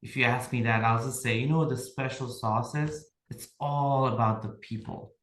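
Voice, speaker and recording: A man's voice: mid-range voice, steady tone, moderate pace, fairly bright tone, reverberant. A man from Nepal, a podcast guest reflecting on leadership and telling workplace stories in casual conversation. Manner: reflective, tells workplace stories, often checks in with "right?".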